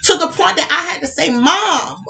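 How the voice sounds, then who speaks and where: A woman's voice: extremely high pitch, expressive, normal speed, creaky. A woman co-hosting a casual talk show, chatty and laughing often, calling people honey. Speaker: podcast co-host. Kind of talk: casual chat. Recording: music playing underneath. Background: music.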